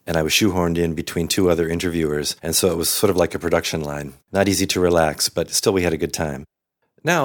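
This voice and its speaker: A man with a low-pitched voice hosts an interview program. He speaks conversationally, as a presenter and interviewer. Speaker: host and interviewer.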